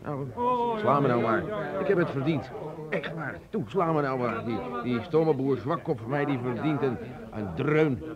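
A male actor as a tipsy revolutionary in a radio drama, amorous and given to ranting and pleading. His mid-pitched voice sits in a dull recording with a loud crowd behind. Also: expressive, moderate pace, close to an everyday voice. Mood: self-pitying, pleading. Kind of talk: Dutch radio play acting.

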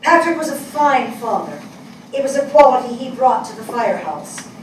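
An older woman playing a thoughtful, compassionate writer in a staged dramatic reading, measured and earnest. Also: high voice, very animated, distant mic. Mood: solemn tribute. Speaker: actor in a staged play reading. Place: small indoor theatre stage before an audience. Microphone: distant camera mic. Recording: echoey stage picked up from afar, noisy.

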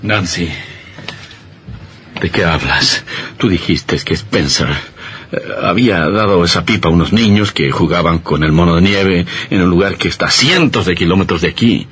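A man's voice: deep voice, moderate pace, a bit bright, a little hiss or hum. A man playing a worried, protective doctor husband in a theatrical, earnest radio drama style. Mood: puzzled.

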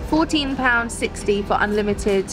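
A woman's voice: a little high, moderate pace, music in the background. A young woman with a British accent talks to camera with chatty enthusiasm, full of "like" and eager asides. She sits close to a handheld camera in a busy indoor mall restaurant. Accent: British English. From Britain. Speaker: food vlogger. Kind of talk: casual vlog chat to camera.

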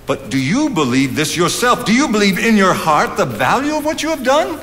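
An older actor on stage playing a lofty, persuasive 19th-century mentor, oratorical and emphatic. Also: male voice, high-pitched, very animated, normal speed, fairly bright tone. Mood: emphatic, urging. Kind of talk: theatrical stage acting. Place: theatre stage, indoors. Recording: live theatre, reverberant, faint noise.

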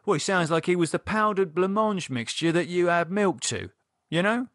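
A man's voice: high-pitched, lively intonation, moderate pace, a bit bright, dry recording, clean studio sound, voice pushed up. A man voicing an irritated, sarcastic, no-nonsense man with a British accent and a dismissive, colloquial manner, as in an audiobook. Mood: mocking. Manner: dismissive, mocking, exasperated, colloquial. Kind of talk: audiobook character dialogue.

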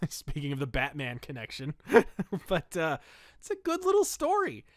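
Man, slightly high voice, dry close sound, enthusiastic reviewer, casual and opinionated. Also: huge pitch swings, measured pace, dry recording. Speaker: podcast host reviewing pulp fiction and old radio. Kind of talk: casual, conversational review commentary.

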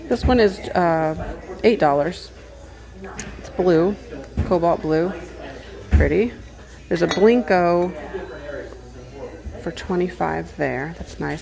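Lady vlogger browsing and chatting to camera, lowish voice, lots of fry, people talking around her. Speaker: vlogger, antiques reseller. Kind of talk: casual shopping commentary, talking to camera while browsing. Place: house and garage at estate sales. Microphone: handheld phone or camera.

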